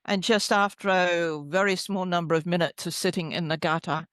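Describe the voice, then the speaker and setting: A middle-aged woman, a healer guest, telling her story in a soft, rambling way as she searches for her words. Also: lowish voice, fairly even intonation, moderate pace, no echo, clean studio sound. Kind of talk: reflective storytelling interview.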